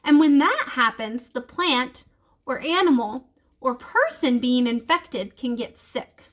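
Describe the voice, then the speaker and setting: A woman's voice: high voice, very animated, slow pace, heavily acted. A woman reading the part of an enthusiastic, reassuring female science teacher in a children's storybook, explaining things patiently. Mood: instructive.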